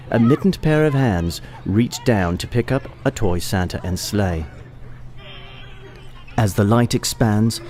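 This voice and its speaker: A man's voice: medium pitch, darkish tone. A man giving scripted, present-tense narration for an audio play.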